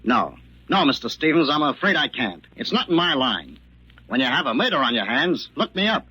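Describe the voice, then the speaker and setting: Sardonic detective, mid-range crisp-edged voice, flippant and dismissive, 1940s radio mystery. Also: male voice, mid-range voice, big pitch swings, normal speed, bright, forceful tone, no echo, full character voice, rough recording. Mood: breezy refusal. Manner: breezy, sardonic. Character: wisecracking, dismissive male private detective. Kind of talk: 1940s radio drama acting.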